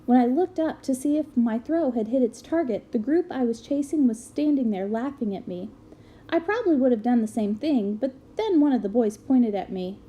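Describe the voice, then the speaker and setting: A woman reading a book aloud as an expressive narrator. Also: on the high side, normal speed. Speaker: reader of a children's book aloud.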